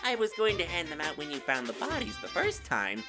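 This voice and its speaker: A mischievous robot bear mascot taunts with theatrical glee. The voice leaps wildly up and down, mock-polite like an announcer. It is anime game fan dub acting over clear background music. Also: female voice, on the low side, wildly swooping pitch, brisk. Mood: gleeful, mock-official.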